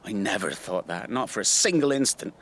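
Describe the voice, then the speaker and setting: An actor playing a rueful rural man in a naturalistic radio drama, telling his memories in a halting, conversational way. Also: on the low side, animated, a bit bright. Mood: sombre. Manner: halting, reflective, conversational storytelling. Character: rueful rural man recalling an old friend's tragedy.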